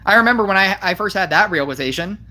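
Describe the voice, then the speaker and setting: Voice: mid-range voice, animated, fast, pushed and bright. A game streamer chatting casually over mobile gameplay, giggly and rambling with lots of fillers. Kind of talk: casual gameplay commentary with chat.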